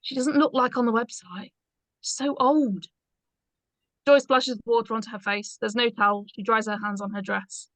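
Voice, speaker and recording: High-voiced woman narrating a script. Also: fairly even intonation, normal speed, fairly bright tone, no echo. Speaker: narrator reading stage directions.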